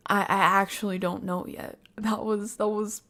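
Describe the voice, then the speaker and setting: A woman reacting to a video as a livestream host, casual and slangy, animated as she analyses and thinks aloud for her viewers. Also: medium pitch, normal speed.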